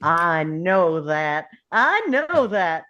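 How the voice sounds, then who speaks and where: A woman acting a polite, simple Southern character in a table read of a film script, with an unhurried delivery. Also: on the low side, big pitch swings, bright, forceful tone, lo-fi sound, exaggerated voice. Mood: excited, coaxing. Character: Forrest, polite simple Southern man; later his doting Mama.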